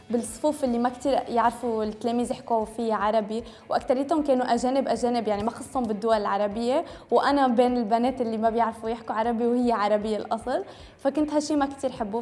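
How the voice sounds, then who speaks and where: A woman's voice: a little high, darkish tone. A young woman from Lebanon whose first language was French, speaking in a Tripoli Lebanese accent with Syrian touches. She chats casually to camera and drops English words into her talk. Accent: Tripoli Lebanese Arabic with Syrian Homs touches. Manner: mixes English words into Arabic, chatty and self-correcting. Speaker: beauty vlogger.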